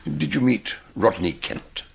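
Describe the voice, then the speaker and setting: An actor playing a shrewd, hearty older British detective in a radio drama, speaking in a ponderous, emphatic, theatrical way. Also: male voice, mid-range voice, lively intonation, measured pace, gravelly, slightly rough, heavily acted. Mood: questioning. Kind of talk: radio drama acting and narration.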